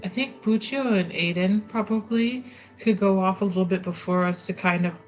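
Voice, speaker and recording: A woman with a medium-pitched voice plays a tabletop game. She talks casually as if planning with friends, and music plays clearly behind her. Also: steady tone, moderate pace, highs cut off.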